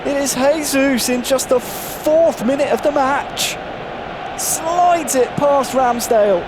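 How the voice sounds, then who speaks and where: A man doing live play-by-play commentary on an esports football match, rising with excitement on goals. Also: extremely high pitch, slow, background noise. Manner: lively, builds excitement on goals.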